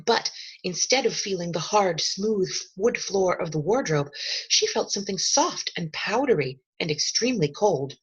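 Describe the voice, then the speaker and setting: Animated, husky woman reading a storybook. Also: on the low side, moderate pace, muffled recording. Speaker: storyteller reading a children's novel aloud. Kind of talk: expressive storybook narration.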